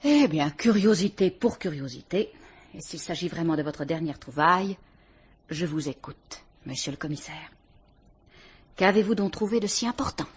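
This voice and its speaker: A woman's voice: sits low, lively intonation, moderate pace, slight background noise. A woman playing a cool, defiant murder suspect, speaking in an icy, haughty, sarcastic manner in a vintage radio drama.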